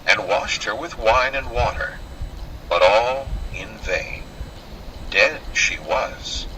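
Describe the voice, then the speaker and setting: A man's voice: medium pitch, sharp tone, a little hiss or hum, low-quality recording. A man narrating a scripted fairy tale in a dramatic, old-fashioned storytelling manner.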